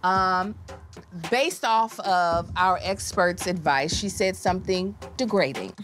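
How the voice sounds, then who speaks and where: A woman's voice: lowish voice, takes it slowly, fairly bright tone, soft background music. A woman in her thirties, a comedian talking casually to camera. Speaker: comedian contestant.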